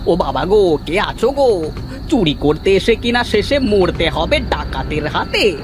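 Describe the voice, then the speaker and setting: A man's voice: sits high, sing-song, a little slow. A man voicing a cowardly, whining petty thief in a cartoon, given to stammering and wailing. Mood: terrified pleading. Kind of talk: Bengali cartoon voice acting. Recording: music behind.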